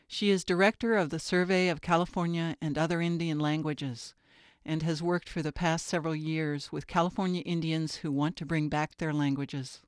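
Measured woman radio narrator. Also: low-pitched, steady tone, no echo, clean studio sound.

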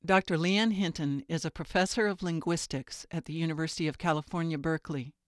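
A woman hosting a radio feature, reading scripted narration in a measured, clear way. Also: deep voice, no room sound, studio quality.

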